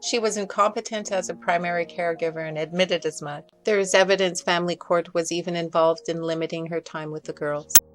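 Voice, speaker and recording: A woman's voice: fairly low pitch, steady tone, brisk, hardly any pauses, faint music behind. A woman reading a scripted, critical commentary as a voice-over. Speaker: video commentator. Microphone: voice-over recorded in separate snippets.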